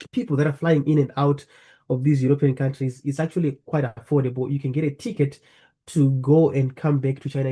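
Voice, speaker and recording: Man from Zimbabwe, earnest presenter talking through slides, husky and mellow tone, leans on fillers. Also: medium pitch. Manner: earnest, repeats phrases, frequent fillers. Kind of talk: presenting a talk over slides. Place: lives in the UK.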